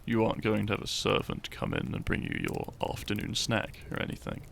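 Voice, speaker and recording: A man playing a shy young farmer turned hero in an intimate romantic audio roleplay, tender and teasing, speaking softly and haltingly. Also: on the low side, wildly swooping pitch, gravelly, a little hiss or hum, heavily acted. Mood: fond, teasing. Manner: soft, hesitant, halting, sighing and chuckling.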